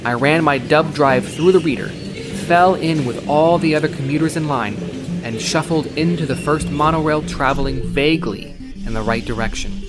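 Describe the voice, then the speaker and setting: A man reading first-person fiction dramatically, with animated, expressive delivery. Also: fairly high pitch, moderate pace. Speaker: short story reader. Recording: loud synthwave music bed. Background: synthwave music.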